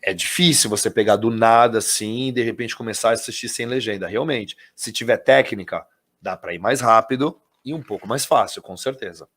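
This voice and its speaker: Brazilian guy teaching, talks fast, lively, keeps saying gente to viewers. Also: on the low side. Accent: Brazilian Portuguese. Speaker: English teacher. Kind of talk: casual teaching chat.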